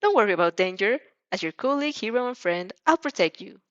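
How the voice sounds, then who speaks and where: Woman playing a super earnest little anime boy, shouty and polite, dry muffled mic. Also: medium pitch, expressive, fast, no room sound, lightly acted. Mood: excited, shouting. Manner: shouty, emphatic, formal. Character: earnest, hyper-energetic, overly formal young boy.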